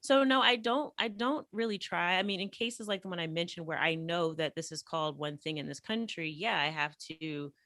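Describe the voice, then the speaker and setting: A woman from the United States tells stories as a podcast guest, animated and full of fillers. Her voice sits a little low. The sound is dry, with no room echo. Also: moderate pace, hardly any pauses. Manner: animated, chatty, many fillers. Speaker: podcast guest, language teacher. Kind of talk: casual interview storytelling.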